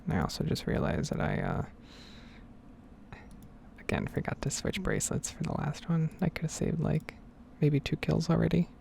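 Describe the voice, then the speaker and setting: A woman giving whispered and soft-spoken ASMR game commentary in a breathy, rambling, unhurried way. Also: deep voice, wildly swooping pitch, a little hiss or hum. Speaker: gaming ASMR video creator.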